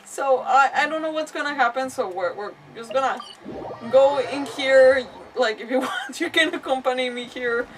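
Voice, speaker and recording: High-voiced woman streaming, away from the mic. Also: normal speed, far from the mic, background noise. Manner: rambling, expressive, self-interrupting. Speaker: video game livestreamer. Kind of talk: casual livestream game commentary.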